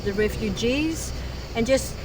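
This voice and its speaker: Middle-aged woman, loud street noise. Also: a little high, lively intonation. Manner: halting, restarts often, grows louder and more heated. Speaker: interviewee. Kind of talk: earnest conversational interview. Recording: loud outdoor traffic and boat noise.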